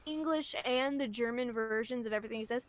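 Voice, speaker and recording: A woman's voice: fairly high pitch, fast, a crowd in the background. A woman co-hosting a casual livestream chat, talking informally. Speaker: stream co-host.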